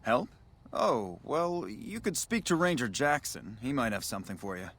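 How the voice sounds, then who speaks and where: A man's voice: medium pitch, sing-song, normal speed, fairly bright tone. A man voicing a weary, matter-of-fact army major stationed at a desert border outpost in a video game. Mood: businesslike, guarded. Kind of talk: video game dialogue acting.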